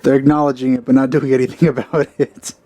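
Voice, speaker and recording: A man giving casual, low-key and wry commentary while playing a video game. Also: little pitch movement, fast, dark tone, slight background noise. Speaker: let's play commentator.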